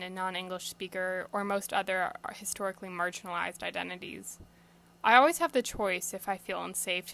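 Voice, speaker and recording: Teen girl, bright voice, earnest scripted read, direct delivery. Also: mid-range voice. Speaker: contributor reading her essay. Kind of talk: scripted personal essay reading.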